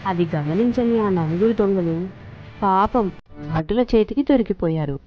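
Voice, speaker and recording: A woman's voice: medium pitch, moderate pace. A woman narrating a scripted children's story as storyteller. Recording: muffled lo-fi, music underneath.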